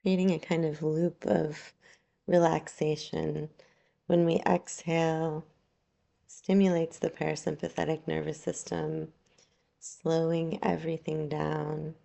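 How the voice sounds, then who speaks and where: A woman leads a guided relaxation as a yoga teacher. Her voice is low and very slow, with a soft, mellow tone. She speaks gently and leaves long pauses between phrases. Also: level tone, gravelly. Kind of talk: guided meditation, slow soothing instruction.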